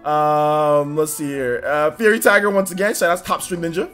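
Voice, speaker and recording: A high-voiced man hosts a casual livestream, greeting viewers by name in quick, upbeat bursts. His nose is a little stuffy, and faint music plays under his voice. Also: fairly even intonation, smooth. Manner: upbeat, rapid shout-outs, laughs often. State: slightly stuffy nose after illness. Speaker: livestream host. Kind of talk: casual livestream chat, reading out viewer names.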